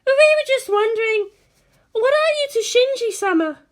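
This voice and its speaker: Casting: devoted schoolgirl fangirl, extremely high, girlish and earnest, for a dramatic story reading. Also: animated, normal speed. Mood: hesitant, timid. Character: group of indignant, adoring schoolgirl fans.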